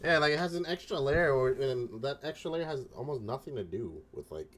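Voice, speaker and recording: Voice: medium pitch, lively intonation, fairly quick. A game streamer chatting casually through a playthrough, reacting freely with some swearing.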